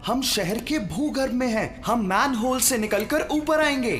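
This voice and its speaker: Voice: mid-range voice, a little slow, lightly acted. A performer voicing an eager, clever young boy inventor in a dubbed cartoon, directing others along. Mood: confident. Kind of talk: animated cartoon dub. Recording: loud music behind the voice.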